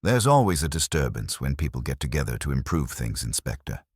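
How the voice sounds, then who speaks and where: A man's voice: very deep voice, sing-song, brisk, slightly rough, no echo, exaggerated voice. A man voicing a weary, grumbling older male caretaker in an audio story, dry, clipped and grudging.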